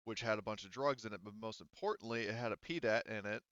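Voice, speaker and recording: A man running a tabletop game as game master, explaining casually in a halting, stop-and-start way. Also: lowish voice, a bit bright, no echo, muffled recording.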